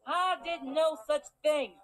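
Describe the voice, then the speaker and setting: A woman voicing an indignant, exasperated female wolf pony in an animated story parody. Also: sits high, takes it slowly, soft background music.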